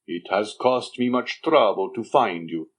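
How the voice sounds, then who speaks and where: A man voicing an aging schemer who poses as a dignified father, reading in a measured, stately way. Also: fairly low pitch, expressive. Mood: solemn. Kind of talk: audiobook character reading.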